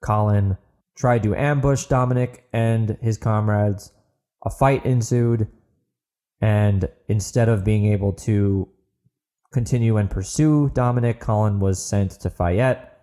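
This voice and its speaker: A man's voice: lowish voice, unhurried, dark tone. A man reading a scripted story as the narrator of an audio book. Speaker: author narrating his audio book podcast.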